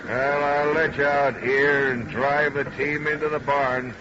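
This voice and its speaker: An actor playing a strange, proud and stern old-time buggy driver in a radio drama, speaking deliberately and matter-of-factly. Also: male voice, fairly high pitch, flat delivery, close to an everyday voice. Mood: curt, composed. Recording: muffled, noisy old radio recording.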